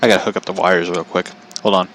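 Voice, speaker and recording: A man's voice: sits low, steady tone, quick pace, fairly bright tone, slight background noise, poor audio. A man telling stories in a casual, rambling, foul-mouthed way as a podcast host, incredulous and repeating himself for emphasis.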